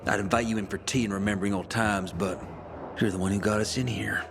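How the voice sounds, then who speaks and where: A man acting a wisecracking, fast-talking scoundrel of a prisoner in a video game cutscene, joking and wheedling like a born storyteller. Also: on the low side, fairly even intonation, vocal fry. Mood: sardonic. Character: wisecracking male prisoner, fast-talking scoundrel who jokes through misery. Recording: music behind the voice.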